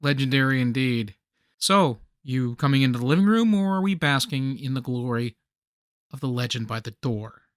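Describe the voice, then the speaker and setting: A male actor playing an excitable, nostalgic, nerdy man in a radio comedy play, with theatrical, geeky banter. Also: medium pitch, moderate pace, dry recording, studio quality, close to an everyday voice. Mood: playful banter.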